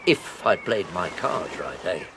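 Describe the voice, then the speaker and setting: A chuckling, sly husband. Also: male voice, sits low, sing-song, measured pace, fairly bright tone, slight background noise, full character voice. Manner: chuckling, jovial, patronizing. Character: fussy middle-aged husband, chuckling, sly. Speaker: Arthur. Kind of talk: radio drama acting.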